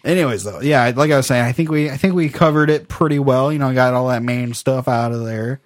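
A man's voice: medium pitch, brisk. A man hosting a casual podcast chat, laughing often as he runs a trivia game. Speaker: podcast host.

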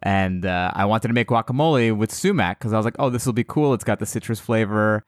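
An American man chatting casually as a podcast guest, telling animated, rambling stories. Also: fairly low pitch, fast talker, no echo, studio quality. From United States. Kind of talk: casual chat.

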